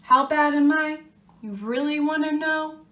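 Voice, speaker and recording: Defiant storybook bad seed, played by a woman: slow, dramatic, drawn-out confessions, roomy echo. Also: sits high, fairly bright tone, pitched above the performer's own voice. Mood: brazen, boastful.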